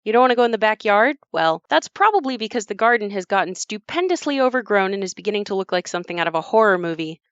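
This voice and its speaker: A woman playing an adult narrator who looks back on her haunted childhood home in a scripted audio play, reflective and measured. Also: medium pitch, lively intonation, smooth, no echo, lo-fi sound, lightly acted. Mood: reflective, confiding.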